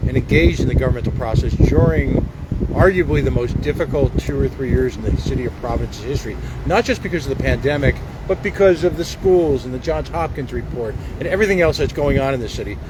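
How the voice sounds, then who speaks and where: A man's voice: mid-range voice, lively intonation, brisk. Male talk host asking long-winded questions, traffic audible in the background. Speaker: radio host. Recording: outdoor traffic noise.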